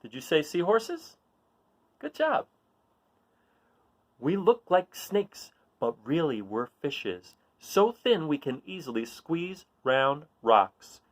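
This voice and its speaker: A man reading a children's picture book aloud in an informal, expressive way, putting questions to young listeners and encouraging them. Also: on the high side, slow pace. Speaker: read-aloud storyteller.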